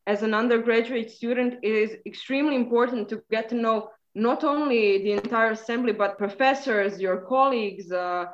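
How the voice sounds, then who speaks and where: A woman's voice: a little high, normal speed, few pauses, dull audio. A young woman from Croatia, an undergraduate speaking earnestly in an interview. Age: young adult. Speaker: undergraduate student guest. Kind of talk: casual interview answers.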